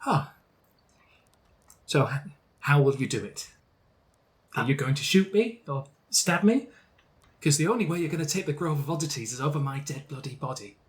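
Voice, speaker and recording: A man voicing a cunning old woman shopkeeper who acts doddery but is sharp-tongued and defiant. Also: a little high, lively intonation, normal speed, pauses often, natural voice. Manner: feigns doddery frailty, then turns tart and defiant. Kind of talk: improvised tabletop character voice.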